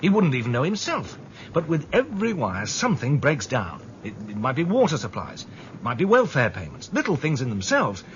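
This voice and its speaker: A stubborn, weary official. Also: male voice, a little high, huge pitch swings, fairly quick. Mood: insistent. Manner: insistent, arguing. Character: weary male official chasing fugitives, stubborn. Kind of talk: radio drama acting. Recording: dull lo-fi radio drama, faint noise.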